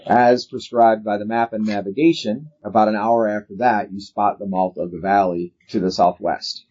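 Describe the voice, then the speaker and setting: A man's voice: lowish voice, normal speed, a little mellow. A man narrating as game master of a tabletop role-playing game, explaining things conversationally and off the cuff. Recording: dull lo-fi recording with faint noise.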